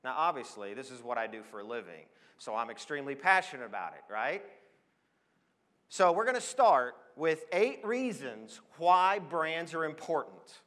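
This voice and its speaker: American man, forties, conference speaker, forceful bright tone, enthusiastic and emphatic, motivational business talk, big echoing hall. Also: fairly high pitch, big pitch swings, normal speed. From Switzerland, then Oregon. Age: 40s. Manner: emphatic, enthusiastic, addresses listeners with questions. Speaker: conference speaker, advertising professional. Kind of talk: motivational business presentation to an audience. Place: on stage in a large hall. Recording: long echo of a large hall.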